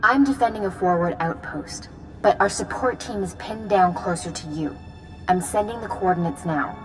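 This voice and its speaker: An actress playing a grave, resolute Jedi commander in a video game, giving briefings in a serious, measured, authoritative manner. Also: female voice, on the low side, faint music behind, lightly acted. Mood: urgent. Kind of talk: video game cutscene acting.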